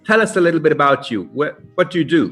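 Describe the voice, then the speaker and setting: A man's voice: fairly high pitch, animated, fast, fairly bright tone. A man hosting a casual live stream, chatting and putting questions to his guest. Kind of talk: casual interviewing chat.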